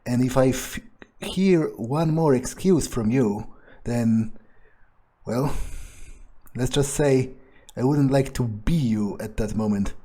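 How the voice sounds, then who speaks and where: Arrogant brooding male vampire, played by a man for a game roleplay: dark, husky, slow, menacing. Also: medium pitch. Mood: menacing, angry. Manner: haughty, slow, halting, menacing. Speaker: role-playing game streamer. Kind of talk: in-character game playthrough monologue.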